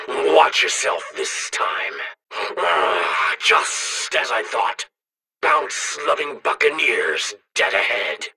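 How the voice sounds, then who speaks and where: A man playing a gruff, cunning, theatrical reptilian alien bounty hunter with a raspy, gravelly voice in a video game. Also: fairly low pitch, pushed and bright, no echo, heavily acted. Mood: wary. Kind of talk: video game character acting.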